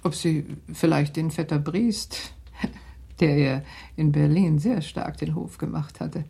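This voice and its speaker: An actress playing a shrewd, worried middle-aged aristocratic mother in a radio play, talking in a measured, confiding way that sometimes sinks to a whisper. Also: low voice, vocal fry, warm tone, slightly rough, heavily acted. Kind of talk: 1960s German radio drama acting.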